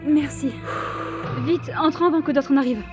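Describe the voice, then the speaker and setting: A woman's voice: high-pitched, steady tone, normal speed, in a large hall, music in the background, lightly acted. A woman acting a young ancient Athenian slave in an audio drama, spirited, tender and shrewd. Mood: brisk, knowing.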